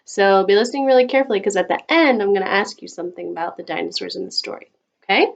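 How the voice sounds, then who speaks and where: A woman reading a picture book aloud to a young child, expressive and coaxing, in the manner of a teacher. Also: medium pitch, very fast, creaky, dull audio. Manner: expressive, coaxing talk aimed at small children.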